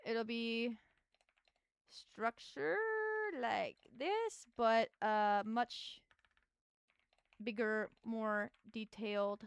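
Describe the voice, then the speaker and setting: A woman's voice: on the high side, drawn-out words, gravelly, long pauses, clear, resonant tone, no room sound. A young adult woman streaming as a VTuber, chatting casually with her chat while she builds in a game and thinks aloud.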